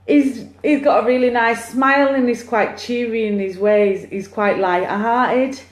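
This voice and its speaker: A woman's voice: a little high, measured pace. Woman medium on a phone livestream, bubbly and chatty, echoey room. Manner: chatty, excitable, cheering on share counts. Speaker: psychic medium. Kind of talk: live-streamed card reading.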